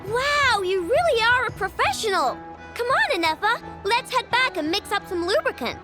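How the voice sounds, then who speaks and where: A little girl inventor from a video game, bubbly and stubborn. Her voice is extremely high and swings widely as she chatters on, breezy and sure of herself. Music plays clearly behind her. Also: big pitch swings, moderate pace. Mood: breezy, eager. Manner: chatty, breezy, self-assured. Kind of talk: video game character acting.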